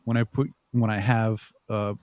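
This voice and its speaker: A man answering interview questions conversationally as a podcast guest, thinking aloud in a halting way with repeated words. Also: fairly low pitch, level tone, darkish tone, dry recording. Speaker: podcast guest, audio drama writer and producer.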